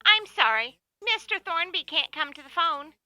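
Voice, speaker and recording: A prim, snippy office secretary with a crisp, formal phone manner. Her voice is high and very bright. A woman acts her out in a dry, close radio-play recording. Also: animated, moderate pace, no echo, exaggerated voice. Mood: polite. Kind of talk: amateur radio play acting.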